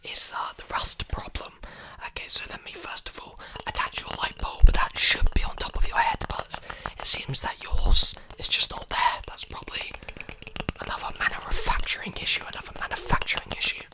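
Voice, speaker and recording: A man's voice: moderate pace, sharp tone, poor audio. A young man whispering breathily and soothingly into a close mic, repeating phrases in an ASMR roleplay as a repair technician. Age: young adult. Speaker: ASMR performer playing a robot repair technician. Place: small indoor room with clothes hanging behind. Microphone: large desktop condenser mic, very close.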